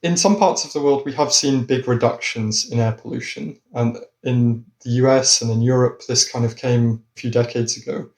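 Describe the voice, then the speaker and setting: A man's voice: medium pitch, fairly even intonation. Man explaining in a roomy echo. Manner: measured and explanatory. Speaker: climate scientist. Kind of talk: interview answers.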